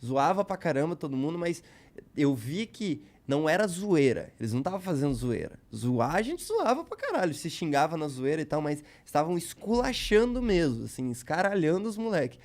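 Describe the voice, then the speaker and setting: A man's voice: fairly high pitch, sing-song, brisk, a little mellow. Slangy Brazilian man telling stories. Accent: Brazilian Portuguese. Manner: loose, slangy storytelling with many fillers. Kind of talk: casual podcast chat.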